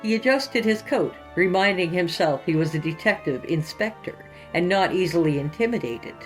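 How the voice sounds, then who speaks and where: A woman's voice: fairly low pitch, lively intonation, moderate pace, faint music behind. A woman hosting and narrating scripted horror fiction in a theatrical, sinister storytelling manner.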